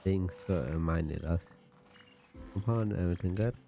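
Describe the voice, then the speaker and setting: A man's voice: sits low, moderate pace, gravelly, music in the background. A man streaming a video game, giving short, casual reactions.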